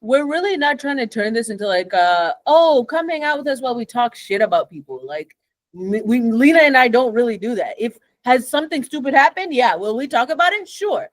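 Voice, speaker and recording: A woman's voice: on the high side, fairly quick, no echo. Blunt young woman with a Kenyan accent. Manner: blunt, swears freely, animated when ranting. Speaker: podcast co-host. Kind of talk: casual chat.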